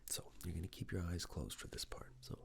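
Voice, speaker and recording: A man murmurs in a very deep, half-whispered voice with a creaky edge. He plays a clinician in ASMR style, giving gentle instructions step by step at an unhurried pace. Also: lively intonation. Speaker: ASMR performer playing a clinician giving a cranial nerve exam. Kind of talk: ASMR medical roleplay, soft near-whisper.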